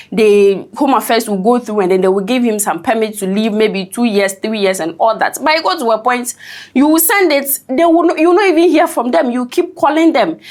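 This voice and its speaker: A woman commenting on a news report in a conversational way, often checking in with "right?". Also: on the high side.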